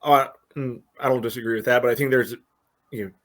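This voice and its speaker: A man's voice: medium pitch, steady tone, fast talker. A man co-hosting a soccer podcast, reasoning casually and hesitantly, with many filler phrases. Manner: hesitant, leans heavily on "you know". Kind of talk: casual sports discussion.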